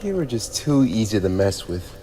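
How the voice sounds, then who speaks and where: A man's voice: fairly low pitch, very animated, moderate pace, mellow. A man playing a smug, teasing, playfully menacing teenage demon prince in an ASMR roleplay, talking straight to the listener in a conversational, mock-formal way. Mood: amused, playful. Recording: echoey reverberant room with clear background noise.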